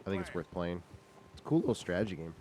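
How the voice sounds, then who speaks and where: A man with a hoarse, scratchy voice chatting casually about games as a guest on a stream. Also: low voice, rapid-fire. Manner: relaxed, joking. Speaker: guest staff editor. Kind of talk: casual game chat.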